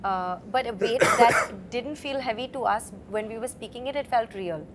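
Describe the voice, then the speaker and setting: A woman, an actress in an interview, answering in a reflective, measured way. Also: on the high side, a crowd in the background.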